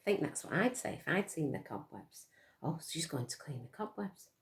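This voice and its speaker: A woman's voice: low-pitched, expressive, moderate pace. A woman reading a picture book aloud to young children, expressively, with questions to her listeners. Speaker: storytime reader for children.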